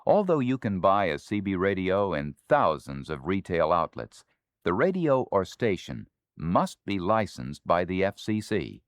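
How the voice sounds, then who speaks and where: An American man reading scripted instructional narration in a formal, measured, authoritative manner. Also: low-pitched, big pitch swings, dry recording. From United States. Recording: dry 1970s spoken-word LP.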